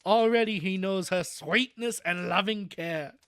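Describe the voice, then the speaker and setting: An old professor speaks slowly and solemnly, full of quiet feeling, as a streamer reads him aloud from a novel. The voice is set at a middling pitch and sounds close and dry. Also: no echo. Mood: solemn, moved. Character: elderly male professor, solemn and tender.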